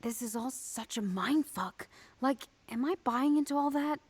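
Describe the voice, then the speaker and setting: A woman's voice: sits high, expressive, a little slow, breathy edge, dry recording, full character voice. An actress voicing a stubborn, skeptical woman detective in a noir game, wry and musing as she reasons things out. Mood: puzzled, wry. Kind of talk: noir detective game voice acting, inner monologue.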